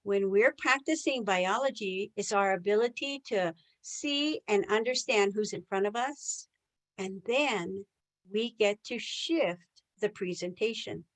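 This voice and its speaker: A woman's voice: mid-range voice, a little slow. A woman presenting a live online sales training as a trainer, a native English speaker who often puts questions to her listeners. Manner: engages listeners with rhetorical questions.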